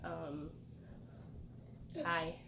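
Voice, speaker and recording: A young woman chatting casually in a vlog, hoarse from a cold and rambling with many fillers. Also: deep voice, level tone, drawn-out words. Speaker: vlogger. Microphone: phone camera. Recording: distant mic with loud noise.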